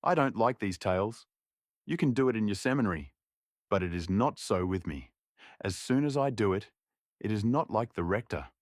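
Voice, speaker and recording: A man's voice: lowish voice, animated, moderate pace, dry recording, clean studio sound, lightly acted. A man playing a sad, stern farmer and village master in a story, commanding and questioning. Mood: stern, angry.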